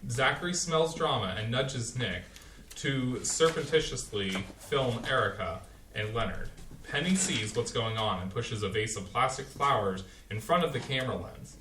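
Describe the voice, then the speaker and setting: Stage-direction reader, a man; matter-of-fact, crisp bright tone, heard from afar in an echoey hall. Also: medium pitch, normal speed, a little hiss or hum. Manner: plain, even, matter-of-fact. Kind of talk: reading stage directions aloud. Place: small community playhouse stage. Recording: echoey hall, distant mic.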